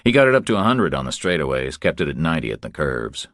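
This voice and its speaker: A man's voice: a bass voice, sing-song, fast talker, a bit bright, dry recording, clean studio sound. A man reading a scripted thriller narration as an audiobook narrator.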